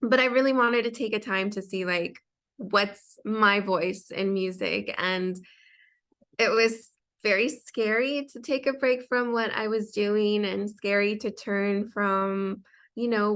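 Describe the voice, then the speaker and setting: A woman's voice: mid-range voice, slow pace, a bit bright. A young adult woman, a designer and singer, telling her story as an interview guest in a chatty way full of fillers and restarts.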